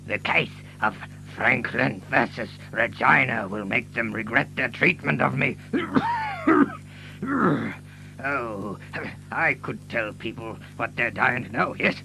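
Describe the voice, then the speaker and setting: Cantankerous, creaky old man. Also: medium pitch, huge pitch swings, slow, bright, forceful tone, soft background music, exaggerated voice, poor audio. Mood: gloating, aggrieved. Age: older. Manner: crotchety, muttering, repeating himself, cackling. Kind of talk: audio drama acting.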